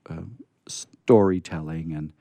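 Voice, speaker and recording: Low mellow guy hosting a radio show, off the cuff, kinda rambly and folksy, dry room sound. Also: fairly even intonation, slow, dry recording. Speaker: host of a radio storytelling show. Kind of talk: casual unscripted show chat.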